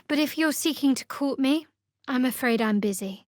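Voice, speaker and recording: An actress playing a guarded, devout young cleric of a dark goddess in a fantasy video game, with a dry, wry, measured delivery. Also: female voice, sits high, slight background noise, close to an everyday voice. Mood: wry, appraising.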